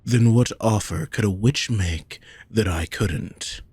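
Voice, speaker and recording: A man playing a possessive, lovesick wealthy nobleman in a romantic audio roleplay, speaking formally and deliberately to the listener. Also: fairly low pitch, darkish tone, breathy edge, dry recording, exaggerated voice. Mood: probing, taunting. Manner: formal, measured, deliberate phrasing.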